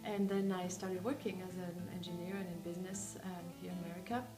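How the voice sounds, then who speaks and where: A woman's voice: on the low side, little pitch movement, fairly quick. A woman from France, a life coach, speaking reflectively with frequent hesitations. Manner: reflective, hesitant with many ums. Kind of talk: interview talk. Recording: echoey room with music behind.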